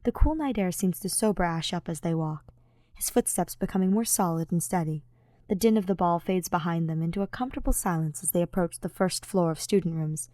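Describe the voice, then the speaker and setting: A woman's voice: fairly low pitch, brisk, warm tone, no echo. Woman narrating fiction in a dry space. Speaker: audiobook narrator.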